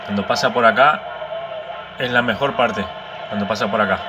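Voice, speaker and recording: Low-voiced man amid very loud noise. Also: fast talker.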